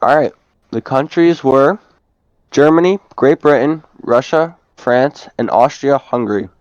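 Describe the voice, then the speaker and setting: A man's voice: medium pitch, measured pace, darkish tone, dull audio, soft background music. A young man presenting from a script in the manner of a quiz host. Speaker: student co-presenter and quizmaster.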